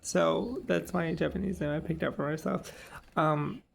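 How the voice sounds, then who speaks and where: A man's voice: on the high side, steady tone, moderate pace, creaky, slight background noise. A man in his twenties chatting casually in a vlog, rambling with lots of "like" and "um". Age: 20s. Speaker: vlogger.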